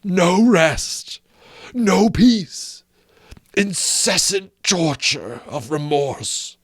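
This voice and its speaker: A man voicing the mournful ghost of an old chained businessman, wailing his lines slowly in a gravelly voice in a storybook reading. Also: sits high, sing-song, fairly bright tone, dry recording, studio quality, voice pushed up, full character voice. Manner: slow drawn-out wailing delivery.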